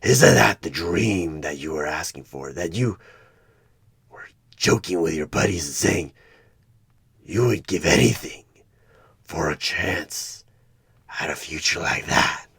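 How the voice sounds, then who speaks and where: A man playing a sinister demonic tempter who poses as a job interviewer in a horror ASMR roleplay, coaxing with halting, menacing calm in a gravelly, breathy half-whisper. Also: sits low, full character voice. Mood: coaxing, tempting. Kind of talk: horror ASMR one-sided audio roleplay.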